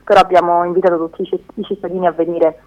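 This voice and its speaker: A woman's voice: lowish voice, level tone, very fast. Woman on the phone with bronchitis. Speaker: phone-in guest, theatre occupation activist. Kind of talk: live phone interview. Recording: telephone line.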